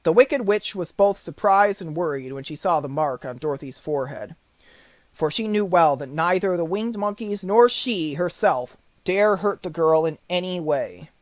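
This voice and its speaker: A man reading a children's novel aloud as an audiobook narrator, in measured, clearly phrased storytelling. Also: on the high side, animated, no room sound.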